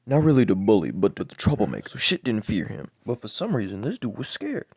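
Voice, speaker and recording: A man improvising a crude bedtime story in a deadpan, rambling way, with lots of swearing and repeated words. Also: fairly low pitch, fast, vocal fry, breathy edge, no room sound, clean studio sound.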